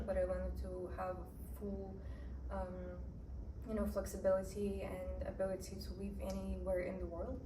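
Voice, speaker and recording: Chatty young woman. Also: medium pitch. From Russia. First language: Russian. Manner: chatty, lots of "like" and "you know". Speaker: vlogger. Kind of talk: casual personal story to camera. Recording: roomy echo, distant mic, background noise.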